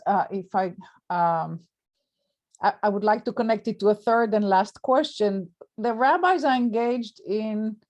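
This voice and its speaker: A woman with a voice a touch higher than average moderates a scholarly discussion. She speaks without a script, laying out ideas in an explanatory way and checking that they land. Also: warm tone. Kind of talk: unscripted academic discussion.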